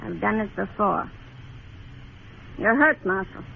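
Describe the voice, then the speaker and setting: A woman's voice: mid-range voice, expressive, slow pace, creaky, phone-quality sound, exaggerated voice. An actress playing a hard, imperious frontier woman in a radio Western, giving curt orders. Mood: grim, commanding. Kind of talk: 1950s radio drama acting. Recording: thin crackly old radio recording.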